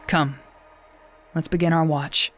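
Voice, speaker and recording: An actress playing a battle-hardened warrior sister, who narrates in the first person in a measured, firm way. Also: female voice, low-pitched, steady tone, soft background music. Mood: calm firm. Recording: rain ambience behind the voice.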